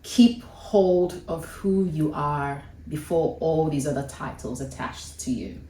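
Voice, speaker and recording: A woman's voice: low-pitched, slow, warm tone, slight background noise. A woman hosting an interview with a friend, framing long, affirming questions. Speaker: host. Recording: echoey room from a distance.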